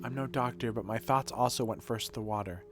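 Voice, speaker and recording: A man reading game dialogue as an earnest, dutiful woman who runs a shelter, in a measured, formal, reflective manner. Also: medium pitch, close to an everyday voice. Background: music.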